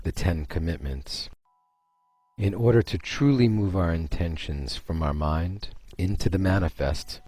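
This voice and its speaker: Guy with a super deep husky voice leading a guided meditation, calm and unhurried. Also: no room sound. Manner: slow, soothing, pausing between phrases.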